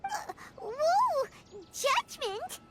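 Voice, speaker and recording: A woman voicing a tiny, childlike, excitable fairy companion in a video game, squeaky and exclamatory. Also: drawn-out words, loud music behind the voice, full character voice. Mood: startled, nervous. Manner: squeaky, exclamatory, refers to self in third person. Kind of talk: English video game voice acting.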